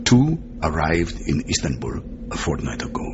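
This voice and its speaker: An actor playing a genial, worldly Turkish spymaster in a radio drama, often tagging phrases with a questioning huh. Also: male voice, extremely low pitch, wildly swooping pitch, normal speed, exaggerated voice. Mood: conspiratorial. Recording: dull lo-fi sound with clear noise.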